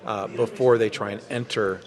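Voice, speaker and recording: A man's voice: on the low side, steady tone, moderate pace. A man hosting an interview at a trade show, conversationally summing up his guest's points. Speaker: interviewer host. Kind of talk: conference booth interview. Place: busy trade show floor. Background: crowd chatter.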